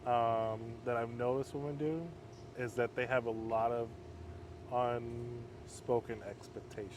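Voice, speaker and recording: A man speaking as an interview guest, a halfway home counselor, in a calm, measured, fairly monotone way. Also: medium pitch. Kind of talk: casual conversation. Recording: outdoor street noise.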